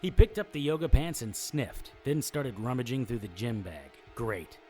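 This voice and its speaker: A sardonic, wisecracking detective played by a man for a noir audio drama. His delivery is animated and swings widely in pitch, with a dry, sarcastic edge to both narration and banter. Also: normal speed, music in the background, lightly acted. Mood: wry, tense. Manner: wry, sarcastic. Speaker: lead character and narrator.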